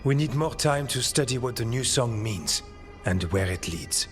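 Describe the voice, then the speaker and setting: An actor playing an uneasy male warden in a video game, speaking in a measured, halting way. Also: medium pitch, lively intonation, faint music behind, lightly acted. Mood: uneasy, searching. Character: uneasy male warden who senses a corrupted song. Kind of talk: game dialogue acting.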